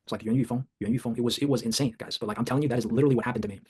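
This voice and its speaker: Fast-talking husky man hosting. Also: mid-range voice, steady tone, a little mellow. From China. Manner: enthusiastic, says "like" often. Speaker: workshop host. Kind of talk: casual group discussion.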